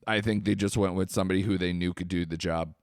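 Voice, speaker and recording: A man's voice: low-pitched, steady tone, fast talker, no room sound, clean studio sound. A man co-hosting a podcast, talking loosely in casual chat, swearing now and then and trailing off. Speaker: podcast co-host.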